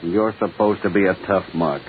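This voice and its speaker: Low-voiced, sardonic tough guy on old radio acted by a man. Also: moderate pace, close to an everyday voice. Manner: sardonic, blunt. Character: sceptical, tough-talking male business partner. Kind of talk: 1940s radio detective drama acting. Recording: hissy narrow-band old radio broadcast.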